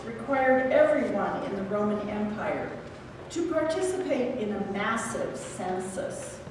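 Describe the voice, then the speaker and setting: A woman reading scripted scripture narration in a church dramatization. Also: fairly high pitch. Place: large church interior. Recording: echoey church hall, distant, noisy crowd.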